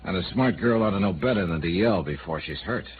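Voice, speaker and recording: A tinny, hissy vintage radio recording carries a very deep-voiced male investigator. He is cool and dry, probing without hurry in hardboiled noir style, with widely swinging intonation. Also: animated. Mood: firm. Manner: dry, unhurried, hesitant probing questions. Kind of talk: 1950s radio noir drama acting.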